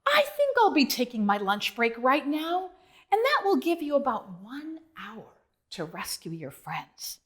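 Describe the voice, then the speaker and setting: Lady doing a friendly digger driver guy from a kids book, slow and approving, a bit lowered. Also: huge pitch swings, fairly bright tone, full character voice. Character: kindly, approving male bulldozer driver.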